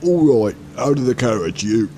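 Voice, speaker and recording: A man's voice: medium pitch, animated, normal speed, mellow, slight background noise, close to an everyday voice. A man playing a confident, scheming guard turned kidnapper in a radio drama, bossy and dismissive. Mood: menacing.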